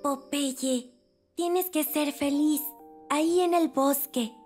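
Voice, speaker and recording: An actor voicing a young, tender-hearted, easily scared boy in a Latin American Spanish children's cartoon, expressive and earnest. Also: sits high, slow. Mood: sad. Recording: music behind the voice.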